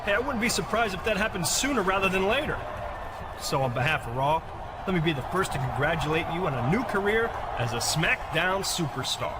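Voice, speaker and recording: A smooth wrestling executive. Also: male voice, sits high, big pitch swings, fairly quick, very noisy. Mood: friendly. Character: smooth male brand executive congratulating a wrestler.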